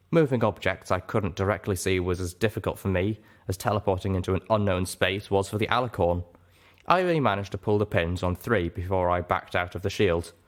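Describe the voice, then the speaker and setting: A man reading scripted fiction aloud as a dramatic audiobook narrator. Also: low-pitched.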